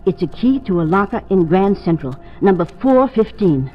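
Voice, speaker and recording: Actress as a world-weary American underworld informant. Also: female voice, mid-range voice, expressive, normal speed, soft background music, lightly acted. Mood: resigned. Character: wary, fatalistic female underworld informant selling evidence. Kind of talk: 1950s radio drama acting. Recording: dull old radio recording.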